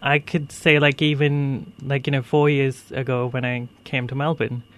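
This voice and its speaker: A man's voice: mid-range voice, level tone, moderate pace, fairly bright tone. A 24-year-old from India with a male-sounding voice, talking casually about personal experience in a hesitant way, peppered with filler phrases. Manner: hesitant, heavy use of "like" and "you know". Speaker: interview guest sharing life story.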